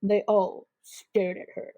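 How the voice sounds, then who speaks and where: A woman's voice: medium pitch, flat delivery, slow pace, lo-fi sound, lightly acted. A girl of about twelve narrating a comic suspense radio drama in a theatrical, scripted way. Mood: suspenseful. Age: child about twelve.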